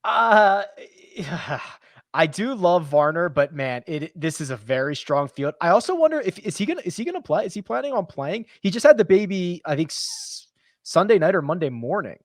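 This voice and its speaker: A man hosting a casual golf betting chat, brisk and analytical, who laughs easily. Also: sits high, very animated, no echo, clean studio sound. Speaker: golf betting show host.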